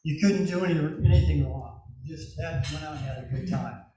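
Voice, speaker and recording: A man's voice: on the high side, brisk, mellow. Easygoing older man with short replies. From California. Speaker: club member. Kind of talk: casual chat. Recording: very echoey room with people behind.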